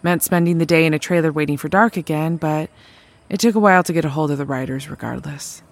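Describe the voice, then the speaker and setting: A woman's voice: low voice, fast, no echo. A woman playing a resourceful drifter mechanic, telling of travels in a naturalistic audio drama, conversational and wry. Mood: wistful, tender.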